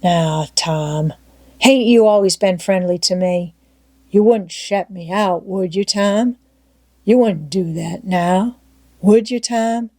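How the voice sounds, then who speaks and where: A woman's voice: medium pitch, slow pace, dark tone, dry recording, clean studio sound. A woman voicing a stubborn, plain-spoken runaway boy in a storybook, with a folksy, ungrammatical dialect. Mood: pleading. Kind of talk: storybook character voice in audiobook reading.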